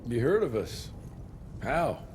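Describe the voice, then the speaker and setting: An actor playing a world-weary, sardonic vampire hunter in a western video game, gruff and terse. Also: male voice, mid-range voice, sing-song, slow pace. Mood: terse, urgent. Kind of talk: cinematic western game dialogue. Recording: clear background noise and ambience.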